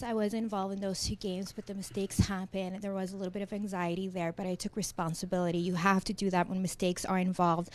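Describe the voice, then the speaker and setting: Woman interpreter, lowish steady voice. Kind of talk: consecutive interpretation.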